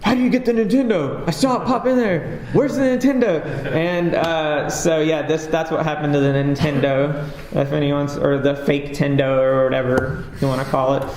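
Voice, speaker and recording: A man's voice: fairly high pitch, fairly even intonation, normal speed, few pauses, darkish tone. A man giving a casual, rambling conference talk as a game developer, with lots of fillers and a self-deprecating manner. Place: conference stage. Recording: echoey hall with faint ambience.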